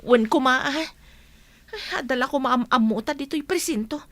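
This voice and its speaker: Woman acting a high-voiced, worried, insistent rescuer. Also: normal speed, fairly bright tone. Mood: anxious. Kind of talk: comedy radio drama acting.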